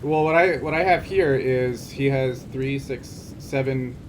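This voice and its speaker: A game master, a man with a middling pitch, improvises scenes and rules aloud. He pauses to think and speaks casually. Rain-like noise is clearly audible behind him. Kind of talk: improvised narration and rulings in a tabletop game. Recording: noisy, rain ambience behind.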